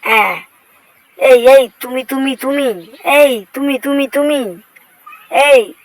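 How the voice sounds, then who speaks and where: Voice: sits high, takes it slowly, no room sound, rough recording. A voice actor dubbing a boastful, vengeful cartoon cat in speech, exclaiming, whining and bragging to himself in a comic fan-dub style. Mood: boastful, teasing.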